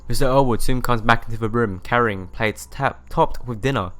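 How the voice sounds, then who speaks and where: A man's voice: medium pitch, normal speed, a bit bright, faint music behind. A man hosting a let's play, reading visual novel narration aloud and sometimes stumbling and correcting himself.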